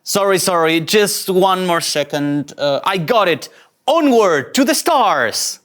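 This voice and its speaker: An actor voices a young male ensign in a sci-fi comedy table read. The delivery is highly animated, swinging between forced captainly bravado and halting self-doubt. He is easily flustered and keeps second-guessing himself. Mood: excited dithering. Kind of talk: table read of comic sci-fi game script.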